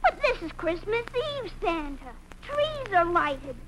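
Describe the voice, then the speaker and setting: Woman as very high-voiced plucky little boy. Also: sing-song, a little slow, exaggerated voice. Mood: earnest, pleading. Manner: earnest, formal declaiming. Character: earnest, plucky little boy, self-important spokesman for children. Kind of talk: old-time radio comedy acting. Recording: noisy vintage radio recording.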